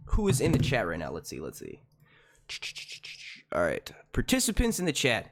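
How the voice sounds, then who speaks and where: Young male streamer, naturally loud and projecting, casual and profane, animated delivery. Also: lowish voice, a little slow, noisy background. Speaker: YouTube live stream host. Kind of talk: casual live stream chat. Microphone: desk mic.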